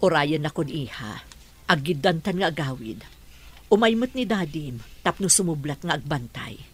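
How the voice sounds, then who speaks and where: A woman's voice: low-pitched, lively intonation, moderate pace, breathy edge. A woman playing a caring, worried middle-aged mother in a radio drama. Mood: gently caring.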